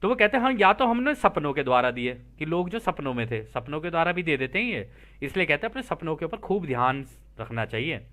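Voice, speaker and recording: A man's voice: a little high, sing-song, fairly quick, a bit bright. A man teaching spiritual material, reading aloud and explaining it informally, checking in with listeners through rhetorical questions.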